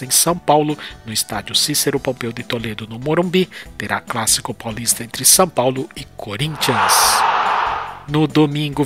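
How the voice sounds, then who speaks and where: Higher-voiced Brazilian male sports announcer. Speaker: sports program host. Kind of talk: scripted sports news reading of standings and fixtures. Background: background music.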